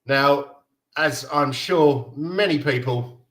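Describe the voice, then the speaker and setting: A man's voice: on the high side, slow, a bit bright. Danish guy in his 30s chatting on a live stream, reads out chat, laughs a lot, loose and rambling. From Denmark. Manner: chatty, reads out viewer comments, laughs often. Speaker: fan livestream host.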